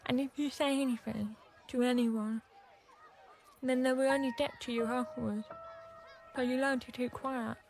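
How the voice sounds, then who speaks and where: An actress playing a frustrated, defiant young deaf woman in a radio drama, plainly confiding and quick to flare up. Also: high-pitched, fairly even intonation, a little mellow. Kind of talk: radio drama acting and first-person narration.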